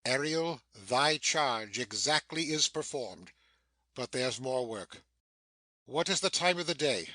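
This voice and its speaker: A man playing a stern, commanding sorcerer master in a dramatic Shakespeare reading, declamatory and quick to scold. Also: medium pitch, lively intonation, sharp tone, no room sound, heavily acted. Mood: businesslike command. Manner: declamatory, imperious.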